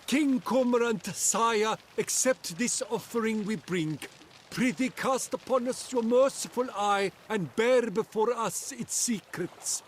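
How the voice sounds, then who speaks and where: A man's voice: very high-pitched, measured pace, a little hiss or hum. An actor playing a formal, reverent supplicant addressing a king in ceremonial, archaic phrasing.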